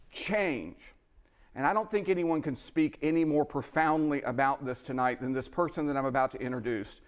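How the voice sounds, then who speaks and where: Higher-voiced man emceeing, emphatic and effusive. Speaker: event emcee. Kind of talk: live fundraiser hosting.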